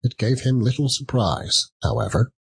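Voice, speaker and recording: A man narrating a classic story as a dramatic, measured audiobook reading. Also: medium pitch, very animated, gravelly, slightly rough. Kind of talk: dramatic scripted literary narration.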